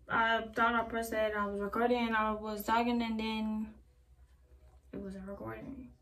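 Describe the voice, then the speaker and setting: A woman's voice: a little high, fairly bright tone. A young woman chatting casually to her camera while doing her hair in a small bedroom, rambling from one thought to the next. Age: young adult. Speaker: vlogger. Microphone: camera a few feet away. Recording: voice a bit far from the mic.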